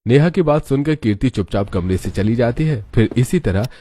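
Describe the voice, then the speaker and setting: A man's voice: mid-range voice, lively intonation, brisk, mellow. A man narrating a scripted cartoon story. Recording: music behind the voice. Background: background music.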